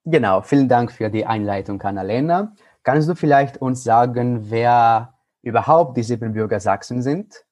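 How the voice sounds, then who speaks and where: A man's voice: medium pitch, moderate pace, few pauses, darkish tone. A man in his early twenties co-hosting a student podcast in a prepared, explanatory style.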